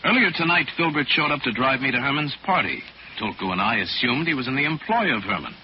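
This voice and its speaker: Muffled noisy 1940s radio drama, man acting shrewd gentleman sleuth, calm authority, animated pitch. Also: lightly acted. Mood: calm, analytical. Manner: composed, authoritative, deliberate. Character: calm, shrewd gentleman crime-solver, exotic mystic hero.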